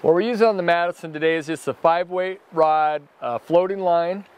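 A man's voice: fairly high pitch, fairly quick, clear, resonant tone. A man in his forties hosting a fishing vlog from a drift boat, exuberant, whooping and laughing, on a lapel mic outdoors. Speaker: fishing show host. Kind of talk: excited outdoor vlog chat.

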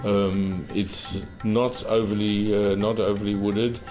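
A low-voiced man in his fifties talks about wine in a halting, restarting way, full of fillers. He sits at a tasting table in a cellar room. Soft music plays behind him. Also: flat delivery. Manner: hesitant, many fillers and restarts. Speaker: visiting winemaker. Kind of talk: halting casual explanation.

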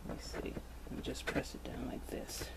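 A woman hosting a kids' toy video, narrating as she plays with modeling clay in a slow, gentle, playful way with soft asides. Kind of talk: hands-on toy play narration for kids. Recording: background noise.

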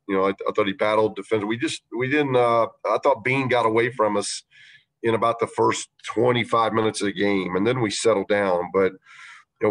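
Man, lowish voice, basketball coach, post-game press answers, halting, lots of fillers. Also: a bit bright, rough recording. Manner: measured, halting, many fillers.

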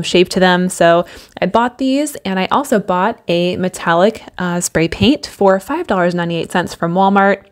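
A woman narrates a do-it-yourself decor tutorial in voice-over, walking through each step in a practical, easygoing way. She fills pauses with ums and uhs as she explains, at an ordinary middle pitch. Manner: practical, step-by-step, with frequent fillers. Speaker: DIY home decor vlogger.

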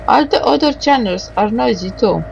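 A woman doing let's play commentary, reading game dialogue aloud haltingly and adding muttered asides. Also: a little high, expressive, vocal fry, highs cut off, background noise.

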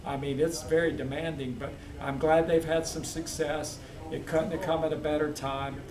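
A man who is a head football coach, answering postgame questions in a steady, measured way with many fillers. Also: fairly high pitch. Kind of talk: postgame press interview. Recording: echoey, distant mic, crowd noise.

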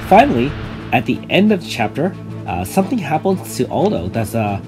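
A man with a slightly raspy voice from illness, chatting casually over game footage as a YouTube host, with many fillers. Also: mid-range voice, sing-song, brisk, music in the background. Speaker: gaming YouTuber.

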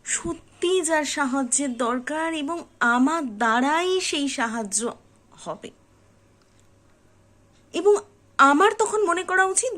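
Female motivational speaker, voice on the high side, very unhurried pace, earnest and emphatic direct address. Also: fairly bright tone. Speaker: host of a motivational reflection channel.

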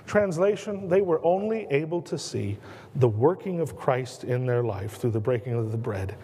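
American pastor preaching in a reverberant church. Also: male voice, lively intonation, dark tone, breathy edge. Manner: earnest preaching, slows and drops low on key words, gestures a lot. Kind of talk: sermon. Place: church sanctuary at a pulpit.

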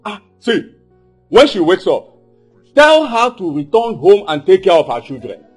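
A man's voice: high voice, big pitch swings, unhurried, breathy edge, faint music behind, heavily acted. A man with a Nigerian accent playing an angry, exasperated husband in a film drama, repeating his demands insistently. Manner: agitated, repeats phrases insistently.

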